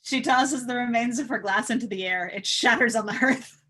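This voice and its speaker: A woman's voice: fairly high pitch, normal speed, sharp tone, slightly rough. A woman reading stage directions as scripted narration at a table read.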